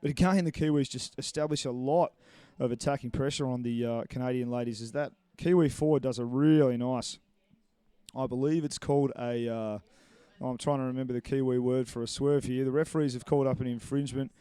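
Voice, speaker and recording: A man calls a live match from a commentary box, chatty and rambling, full of little fillers as he goes. His voice is mellow and smooth, and the recording is close and dry. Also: medium pitch, fairly even intonation, brisk, no echo. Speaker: sports commentator. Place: commentary box at an indoor pool.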